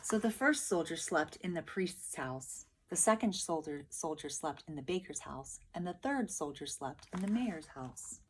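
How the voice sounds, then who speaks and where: A woman's voice: low voice, animated, moderate pace, few pauses, slight background noise, rough recording. A woman teacher reading a picture book aloud expressively, with emphatic delivery and questions for her listeners.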